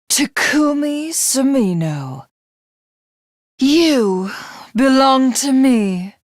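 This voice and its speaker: High, haughty, theatrical villainess. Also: female voice, dry recording, studio quality, exaggerated voice. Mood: intrigued, lovestruck. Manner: grandiose, condescending, theatrical. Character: haughty, sadistic alien villainess, obsessively lovestruck, self-glorifying. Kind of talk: video game voice acting.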